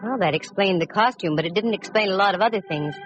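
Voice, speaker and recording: Wry, low-voiced lady detective played by an actress. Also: fast, lightly acted. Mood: wry narrating. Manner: wry, sardonic. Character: witty, self-assured woman private detective. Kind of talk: 1940s radio detective drama acting and narration. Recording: dull vintage radio recording with music.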